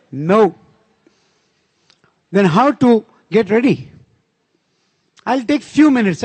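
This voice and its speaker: A man with a Pakistani accent whose first language is Urdu, giving an emphatic conference speech and slowing down to stress his key points. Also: high voice, no echo. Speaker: conference speaker.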